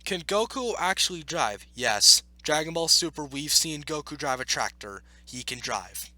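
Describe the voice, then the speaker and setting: A man hosting a video, reading aloud and arguing back with blunt, opinionated off-the-cuff commentary in a halting, self-correcting way. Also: on the high side, animated, bright, forceful tone, no echo. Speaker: video host reacting to an article.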